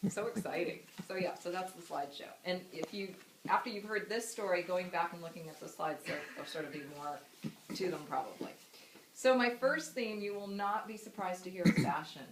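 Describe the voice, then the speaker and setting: A woman's voice: lowish voice, fairly quick, echoey room, slight background noise, low-quality recording. A woman in her fifties from the United States giving a memorial speech about her mother, telling stories with laughs and fillers. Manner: warm storytelling with frequent laughs and fillers. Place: memorial gathering room.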